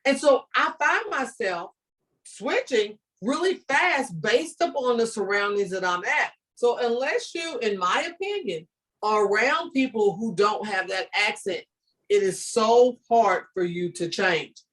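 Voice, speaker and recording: An older woman from the southern United States with a Southern accent, a teacher talking to students on a video call in a lively, storytelling and encouraging manner. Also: a little high, a little slow, distant mic. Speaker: ESL teacher guest. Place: home room with bookshelves.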